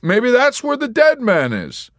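A man voicing a sarcastic young soldier in a hospital bed who needles everyone with loud, mocking questions. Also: very high-pitched, huge pitch swings, measured pace, dry recording, clean studio sound, pitched above the performer's own voice, exaggerated voice. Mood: needling.

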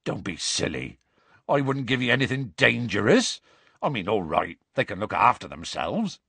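A man voicing a gruff, genial giant for an audiobook, talking in a folksy, breezily reassuring way. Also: on the low side, sing-song, moderate pace, fairly bright tone, no echo, exaggerated voice. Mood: genially dismissive.

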